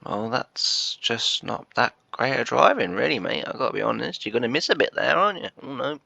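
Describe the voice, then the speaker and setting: A man with a croaky, bunged-up voice from a chest cold, rambling through casual gameplay commentary with lots of hesitations. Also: medium pitch, lively intonation, a little fast, pushed and bright, dry recording. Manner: rambling, hesitant, full of "uh" and restarts. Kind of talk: casual let's-play commentary.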